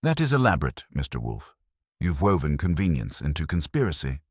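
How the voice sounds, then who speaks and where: A man's voice: a bass voice, wildly swooping pitch, brisk, husky, no room sound, studio quality, full character voice. A man reading the part of a composed, mocking villain with a polished, challenging manner in an audio mystery. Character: smooth-talking male villain, composed and mocking.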